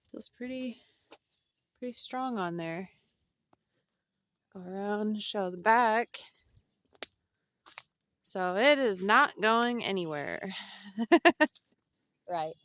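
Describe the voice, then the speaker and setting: A woman's voice: mid-range voice, extremely slow, pauses often, a bit bright, dry recording. A woman chatting casually to her camera at home, rambling with fillers and repeating herself as she talks. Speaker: vlogger.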